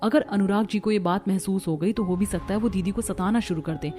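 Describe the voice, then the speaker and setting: A woman's voice: mid-range voice, brisk, warm tone, natural voice. Woman acting a scheming young bride, music behind. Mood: sly persuasion. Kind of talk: Hindi cartoon family melodrama.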